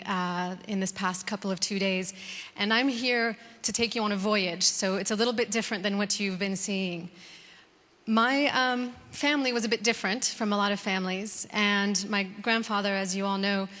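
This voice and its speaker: A woman in her thirties with an American accent giving a conversational conference talk, with frequent "um"s and restarts. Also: mid-range voice. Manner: warm conversational, many "um"s and restarts. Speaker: conference speaker. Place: large conference hall. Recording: reverberant hall.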